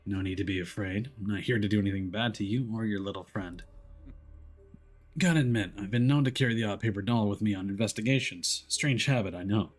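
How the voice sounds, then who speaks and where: A man voicing a gruff, world-weary detective who talks in a terse, dry, guarded way for a video game story. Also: on the low side, a little fast, close to an everyday voice. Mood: dry, playful.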